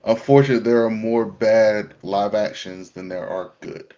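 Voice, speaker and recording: A man's voice: lowish voice, steady tone, moderate pace, mellow. A man chatting casually as a podcast panelist, heard through somewhat dull lo-fi call audio. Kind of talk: casual chat.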